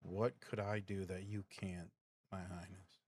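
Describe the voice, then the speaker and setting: A man playing a dejected, bitter exiled racer in a tabletop game, speaking haltingly and repeating his words. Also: low voice, level tone, darkish tone, no room sound. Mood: bitter, defeated. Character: exiled racer, dejected, bitter, bewildered young nobleman's outcast. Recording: music behind the voice.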